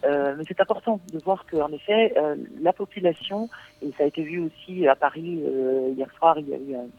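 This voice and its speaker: A man speaks as a radio guest in a slightly high voice, stumbling through filler sounds and restarts. The sound is dry and close, with no room around it. He keeps circling back before finishing each thought. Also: normal speed, no echo, phone-quality sound. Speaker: guest activist. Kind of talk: radio interview talk.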